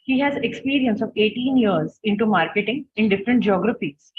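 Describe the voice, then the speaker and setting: Woman co-convener reading a formal introduction, husky voice, phone-like audio. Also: mid-range voice, animated, fairly quick. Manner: formal, reads out a prepared introduction. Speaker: conference co-convener. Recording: tinny phone-like call audio.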